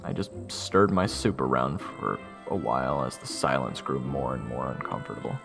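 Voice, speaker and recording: A man's voice: extremely low pitch, expressive, normal speed, vocal fry, over music, full character voice. A man playing a weary, sarcastic young soldier who tells his story in a casual, exasperated way in an audio drama. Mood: wry, weary. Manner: wry, exasperated, casual first-person storytelling. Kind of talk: audio roleplay narration and dialogue.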